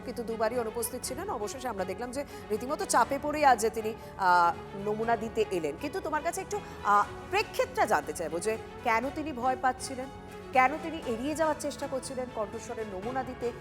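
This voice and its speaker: A woman with a West Bengal accent anchoring live breaking news and putting questions to reporters. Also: high-pitched.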